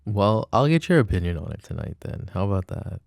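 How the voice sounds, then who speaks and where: A man's voice: low voice, big pitch swings, brisk, vocal fry, no echo, clean studio sound, full character voice. A young man playing an apologetic, adoring jealous boyfriend in an intimate roleplay, speaking in a casual, hesitant murmur. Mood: tender, adoring. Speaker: voice actor.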